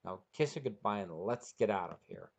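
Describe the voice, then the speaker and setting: A man's voice: lowish voice, animated, fairly quick, creaky, darkish tone, pitched below the performer's own voice. A man voicing a firm, impatient man in a story, stern and curt.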